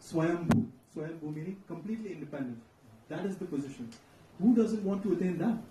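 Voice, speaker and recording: A man's voice: a little high, lively intonation, fairly quick. A man giving a devotional sermon as a religious lecturer, emphatic and repeating phrases for effect. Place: large temple hall. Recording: echoey hall, distant mic, faint noise.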